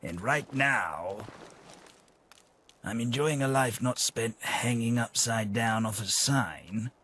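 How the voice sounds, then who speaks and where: An actor with a British accent playing a wordy, theatrical, mock-grand adventuring companion in a video game. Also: male voice, mid-range voice, takes it slowly, fairly bright tone, slight background noise. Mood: chatty, droll. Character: jaunty, theatrical, long-lived British adventuring companion, male. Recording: game music behind the voice. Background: game music.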